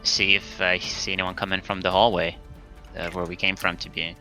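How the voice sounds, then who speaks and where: Low, very bright, forceful man gaming. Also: little pitch movement, normal speed, low-quality recording. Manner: hesitant, questioning. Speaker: tabletop game player. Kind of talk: casual game chat. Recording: music under the voice.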